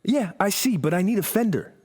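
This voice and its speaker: A spoiled, irritable rock guitar star. His pitch jumps around in a foul-mouthed, naturalistic audio drama performance. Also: expressive, brisk, a little mellow, slightly rough.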